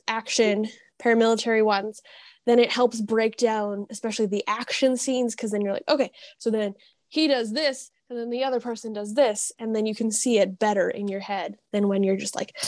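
A woman chatting casually and enthusiastically as a podcast guest, expressive and gushing. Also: mid-range voice, moderate pace, no echo.

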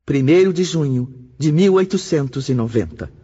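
Grave radio play announcer, dark mellow voice, super slow, big echoey reverb. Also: male voice, on the high side, animated, breathy edge. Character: grave announcer setting place and date. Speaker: scene-setting announcer. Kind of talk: dramatic scripted radio narration. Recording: dull audio with long reverb.

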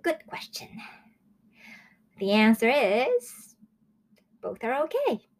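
A woman in her early twenties from Japan, hosting a casual solo review show in a chatty, excitable way. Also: fairly high pitch, slow pace. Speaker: host of a manga review show.

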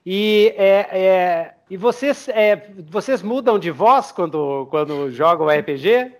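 A Brazilian man with a high-set voice hosts a casual podcast conversation. He feels his way through explanations, restarting and doubling words as he talks. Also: animated. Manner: halting, repeats words while explaining. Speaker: podcast host. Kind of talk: casual interview chat.

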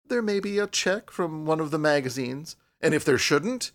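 A man's voice: on the high side, animated, moderate pace, voice pushed up. Man as a proud, light-voiced young woman. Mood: proud, defiant. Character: proud young woman writer, cool, quietly desperate. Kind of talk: audiobook character voice.

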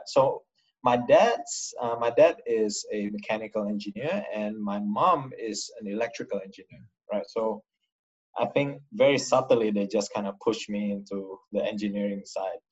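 A man from Indonesia speaks in a fairly low voice as a guest on a podcast. He answers casually, restarting phrases and filling pauses as he thinks. The recording sounds a little muffled and lo-fi. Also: slightly rough. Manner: restarts and fillers, relaxed. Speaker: startup founder, guest.

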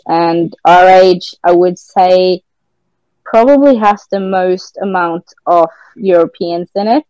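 Low-voiced Norwegian woman hosting. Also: dark tone, no room sound, rough recording. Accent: Norwegian-tinged British English. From Norway. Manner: chatty, filler-heavy. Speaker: podcast host. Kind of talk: casual chat.

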